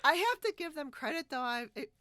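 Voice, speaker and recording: A woman's voice: high-pitched, big pitch swings, brisk, sharp tone, dry recording. Chatty woman podcast host in a dry recording. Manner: chatty, laughs often. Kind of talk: casual video podcast chat. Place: split-screen video call, recorded dry.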